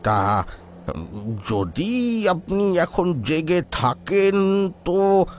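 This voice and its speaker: A man's voice: high voice, big pitch swings, extremely slow, slight background noise, exaggerated voice. A man playing a bold, hot-tempered old man in a horror audio drama, earnest and emphatic. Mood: reverent, cautious. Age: older.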